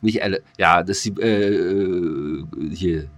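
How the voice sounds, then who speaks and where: A man's voice: low voice, slow. A man co-hosting a casual podcast, rambling and joking with many fillers. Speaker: podcast co-host.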